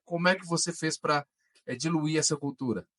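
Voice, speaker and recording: Male podcast interviewer, Brazilian accent, somewhat high voice. Conversational, halting delivery while phrasing questions. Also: a bit bright. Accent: Brazilian Portuguese.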